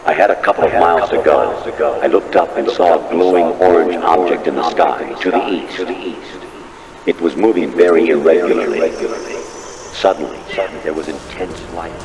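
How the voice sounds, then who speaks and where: A man playing a witness recounting a strange experience as measured testimony. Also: a bass voice, steady tone. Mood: eerie recollection. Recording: echoey hall, loud crowd-like din.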